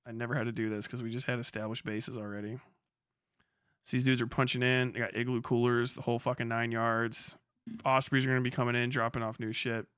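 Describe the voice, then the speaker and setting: A man's voice: mid-range voice, little pitch movement, a little fast, fairly bright tone, clean tone, no room sound, clean studio sound. A man giving casual, off-the-cuff livestream commentary as a military veteran, slangy and full of swearing. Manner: profane, slangy.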